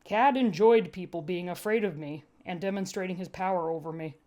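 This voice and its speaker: A woman's voice: lowish voice, a little fast, warm tone. A woman narrating a first-person story as a podfic reader, with a dry, wry delivery.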